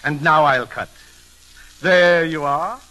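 An actor playing a genteel, slyly innocent deacon, formal, polite and unctuous, in old-time radio comedy style. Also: male voice, on the high side, takes it slowly, pushed and bright, low-quality recording. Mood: sly, genial. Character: genteel, pious-sounding card sharp deacon, slyly innocent.

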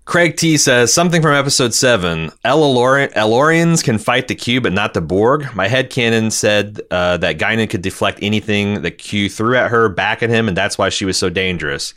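Male podcast co-host, low-pitched but bright and punchy, casual chat and mail reading. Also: animated, normal speed, few pauses. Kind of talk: casual chat and reading listener messages aloud.

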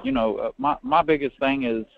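A man calling in to a sports show, relaxed and friendly, with hesitant fillers. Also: medium pitch, fairly quick, no room sound. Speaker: caller. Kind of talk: casual sports chat. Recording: tinny dry phone line.

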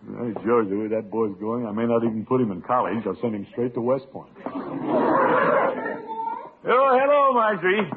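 Tinny old radio sitcom, pompous doting uncle, middle-aged, very animated delivery, blustery, easily flustered. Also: male voice, mid-range voice, normal speed, pauses often, very noisy. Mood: proud, fond. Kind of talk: 1940s-50s radio sitcom acting.

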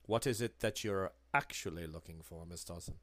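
Guy doing a creepy german occultist, low quiet voice, super polite but menacing. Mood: coldly probing. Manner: soft, low, measured and probing.

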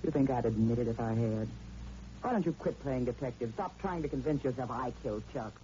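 A woman's voice: extremely low pitch, expressive, brisk, mellow. An actress playing a cool, scheming, sardonic woman in an old-time radio drama, with an arch, mocking, theatrical delivery. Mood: cool, taunting. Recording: dull, noisy old radio recording.

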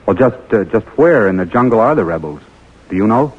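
An actor with an American accent playing an eager young lieutenant on a secret mission, in 1940s radio drama style, on an old recording. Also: male voice, lowish voice, expressive, a little fast, husky, phone-quality sound. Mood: anxious. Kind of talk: 1940s radio drama acting and first-person narration.

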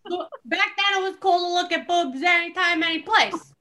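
A woman's voice: extremely high pitch, moderate pace, bright, forceful tone. A woman host giving a comedic dramatic reading with giggly, sarcastic asides. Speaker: podcast host reading a book aloud.

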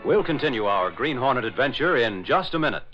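Vintage radio narrator: American male, highly varied intonation, scripted delivery, music behind, muffled recording. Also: animated, fairly quick. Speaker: announcer and narrator. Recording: dull old radio broadcast.

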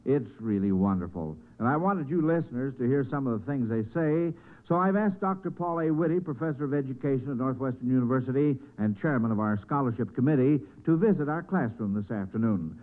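An American man hosts an old radio quiz show. His voice sits a little high, and he chats in a folksy, encouraging way. The sound is narrow and muffled, like a vintage broadcast.

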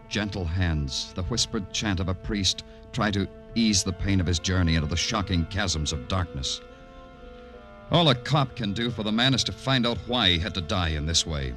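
An actor playing a weary, hardboiled police detective, brooding and poetic in the style of 1950s radio noir. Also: male voice, lowish voice, lightly acted. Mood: grimly poetic. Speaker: detective narrator and lead. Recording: old radio recording with music behind.

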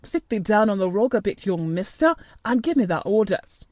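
A woman's voice: medium pitch, very animated, darkish tone, dry recording, natural voice. A woman voicing a grumpy but tender old male gardener with a Yorkshire accent and dry manner in an audiobook. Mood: dry exultation. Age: older. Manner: dry, grumpy, slow-spoken, dialect speech. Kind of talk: audiobook character dialogue.

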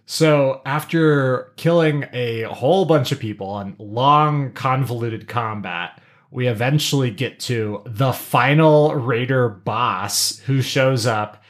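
A man with a mid-pitched voice co-hosts a casual recap podcast. He tells the story in animated, slangy language and slips into paraphrased lines of dialogue. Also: slow. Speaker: podcast co-host.

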